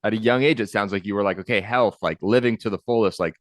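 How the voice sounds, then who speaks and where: A man hosting a podcast, talking in an upbeat, energetic and encouraging way. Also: on the low side, fast, no room sound, clean studio sound. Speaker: podcast host. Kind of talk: podcast intro and interview.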